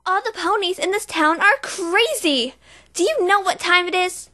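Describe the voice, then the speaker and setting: A woman's voice: very high-pitched, lively intonation, moderate pace, sharp tone, exaggerated voice. A woman voicing an earnest, studious young pony princess who frets and explains precisely. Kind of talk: fan voice reel impression.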